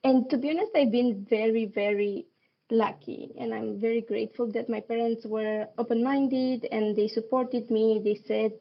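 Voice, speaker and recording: A woman in her thirties from Romania, speaking English as a podcast guest, laughing often and pausing with fillers. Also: fairly high pitch, fairly even intonation, gravelly, muffled recording. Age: 30s. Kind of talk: casual interview chat.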